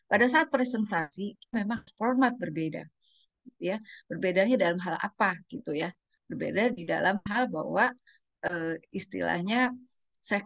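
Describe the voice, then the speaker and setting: A woman's voice: on the low side, gravelly, a bit bright, no echo. A woman giving an informal training presentation as a communication trainer, instructive and conversational, often adding tag questions and mixing in English terms.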